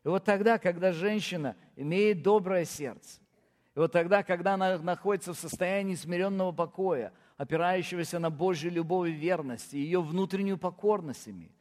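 A man's voice: high-pitched, normal speed, darkish tone, big-room sound. A man, a pastor, preaching a sermon and counting off his points with firm, rising emphasis.

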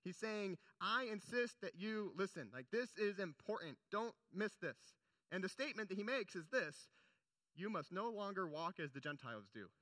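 A man's voice: sits high, big pitch swings, normal speed, sharp tone, no room sound. A young man preaching a sermon in an earnest, conversational way, with casual asides between scripture readings. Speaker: pastor.

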